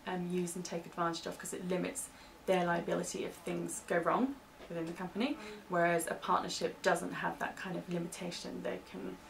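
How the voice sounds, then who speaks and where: A woman's voice: lowish voice, steady tone, a little fast, vocal fry. A British woman giving informal, loosely hedged explanations in a training interview, as the interviewee. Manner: hedging, informal explanations with "kind of". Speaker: interviewee explaining legal terms for staff training. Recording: distant mic, faint background noise.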